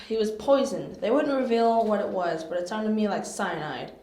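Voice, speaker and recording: A woman's voice: mid-range voice, moderate pace, mellow, natural voice. A woman playing a detective's exasperated, cautious friend in an amateur audio drama, emphatic and skeptical. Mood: worried, skeptical. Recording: roomy, echoey home recording.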